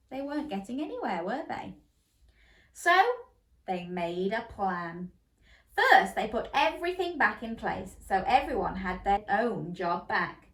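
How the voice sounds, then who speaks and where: Woman storyteller for kids, lively pitch, roomy echoey recording. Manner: playful, interactive, animated. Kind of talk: bedtime story reading for children. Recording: roomy echo.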